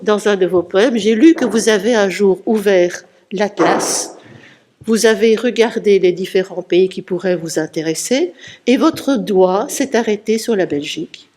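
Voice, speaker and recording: Slow, measured woman presenting a guest. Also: medium pitch, darkish tone. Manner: measured, explanatory, reading out a biography. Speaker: presenter, interviewer. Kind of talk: literary presentation.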